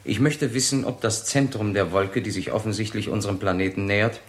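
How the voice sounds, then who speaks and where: A man's voice: on the low side, fairly even intonation, brisk, lightly acted. An actor playing a brilliant, sober young male physicist in a 1960s German radio drama, speaking with precise, lecturing deliberation. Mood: sober, analytical. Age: 20s.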